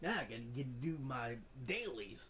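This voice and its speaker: A woman's voice: very deep voice, big pitch swings, unhurried, slight background noise. A woman giving casual, off-the-cuff commentary while playing a game. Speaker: gamer and channel host. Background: loud game music.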